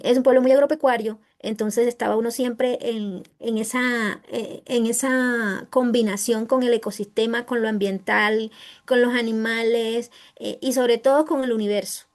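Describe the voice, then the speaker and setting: A woman writer with a Colombian Caribbean coast accent, answering interview questions haltingly as she searches for words. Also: on the high side, darkish tone. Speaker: guest writer.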